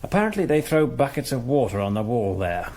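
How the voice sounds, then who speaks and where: A callous Nazi jailer played by a male actor in a radio drama. His medium-pitched voice is offhand and mock-courteous, sardonic and coolly cruel. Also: big pitch swings, brisk, a little mellow, close to an everyday voice. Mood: mocking nonchalance. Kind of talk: broadcast radio drama acting.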